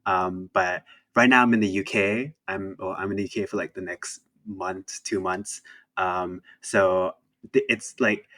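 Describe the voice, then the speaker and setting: A man's voice: low voice, fairly even intonation, unhurried, bright, forceful tone. A young man from Thailand, an indie game developer, chatting casually as an interview guest, with many fillers and restarts. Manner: hesitant, many fillers and restarts, laughs easily.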